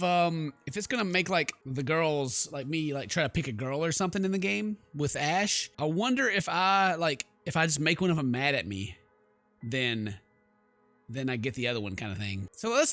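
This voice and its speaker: Casting: male gaming host, forceful and mocking, casual comedic commentary, dry close mic. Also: a little high, no room sound. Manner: sarcastic, mocking, theatrical. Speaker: let's play host. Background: game music.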